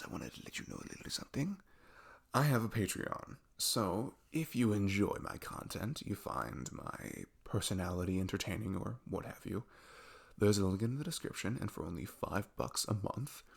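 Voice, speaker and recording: A man with a creaky low voice speaking casually to his listeners as an audio creator making an announcement. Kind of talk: casual spoken channel announcement.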